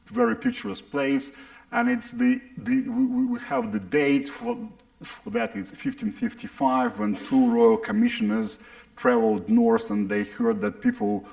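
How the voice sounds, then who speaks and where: A middle-aged man with a Russian accent giving a public science lecture, halting and often repeating words as he restarts. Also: mid-range voice, gravelly.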